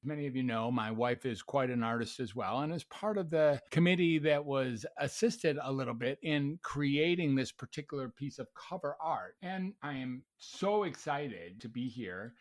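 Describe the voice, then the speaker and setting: A man's voice: mid-range voice, moderate pace, hardly any pauses. A man hosting a podcast, chatting casually and affirming his guest with frequent "mm-hmm"s. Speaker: podcast host and psychiatrist. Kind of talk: casual interview chat.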